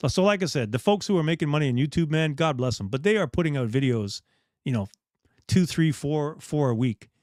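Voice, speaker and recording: Middle-aged man, candid, rambling host, a bit high in pitch, dry close recording. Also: expressive, a little fast, darkish tone, no room sound. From Bahamas. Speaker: dive shop host. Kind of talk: casual live chat.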